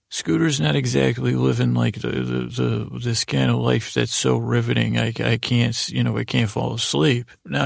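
Very creaky, rambling male podcast host. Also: medium pitch, no room sound, clean studio sound. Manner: meandering, halting, stammering, repeats words and goes on tangents; lulling, soothing delivery. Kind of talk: rambling intro to a bedtime story podcast.

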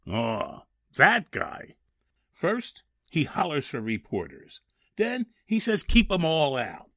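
Man playing a husky, slow, folksy prison guard. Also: fairly high pitch, wildly swooping pitch, pushed and bright, no echo, studio quality, full character voice. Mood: grumbling, obliging. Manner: drawn-out, ungrammatical working-class talk. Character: male prison guard, folksy, grumbling, plain-spoken. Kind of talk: 1940s radio drama acting.

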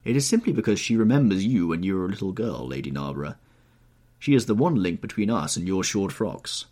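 A man voicing an urbane, cynical and witty gentleman in an audiobook, with polished, epigrammatic delivery. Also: sits low, expressive, brisk. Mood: dryly amused. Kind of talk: audiobook character voice.

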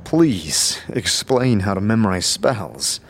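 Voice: deep voice, very animated, slow, a little hiss or hum, exaggerated voice. A performer playing an earnest, polite adventurer and pupil in a fantasy audio drama, hesitant and deferential in speech. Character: earnest, polite adventurer learning magic from a mentor.

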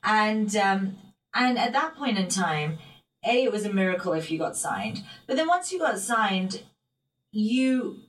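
A woman guest talking thoughtfully in an interview, pausing with fillers and restarting her sentences. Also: mid-range voice, sing-song. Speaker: guest singer. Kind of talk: reflective interview talk. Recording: roomy and distant from the mic.

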